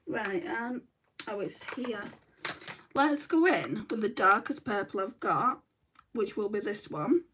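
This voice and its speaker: A woman's voice: mid-range voice, lively intonation, slow, fairly bright tone, a little hiss or hum. A middle-aged woman with a northern English accent, chatting casually through a makeup livestream, reading out comments and muttering to herself as she works. She says she is in back pain. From northern England. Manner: chatty, self-deprecating, mutters to herself while working.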